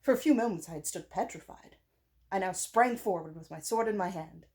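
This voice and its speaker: A woman reading a gothic story aloud as dramatic narration, with pauses and phrases that drop to a hush. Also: medium pitch. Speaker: audiobook reader.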